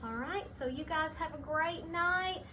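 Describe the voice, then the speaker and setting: A woman teacher reading a picture book aloud to young children, pausing to explain things simply and point them out. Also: high voice, pushed and bright, slight background noise.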